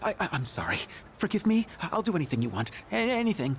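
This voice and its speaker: A man's voice: high voice, sing-song, fast, vocal fry, a little hiss or hum, exaggerated voice. A man voicing a meek, grovelling young boyfriend in a video game, stammering and pleading. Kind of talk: video game character dialogue.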